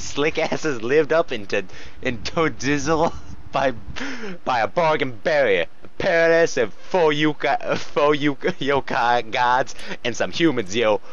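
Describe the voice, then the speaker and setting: A man's voice: medium pitch, fairly bright tone. Man in a muffled, noisy recording. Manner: giggly, stumbling over the text. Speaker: co-host reading fan fiction aloud. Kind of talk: joking read-aloud with casual asides.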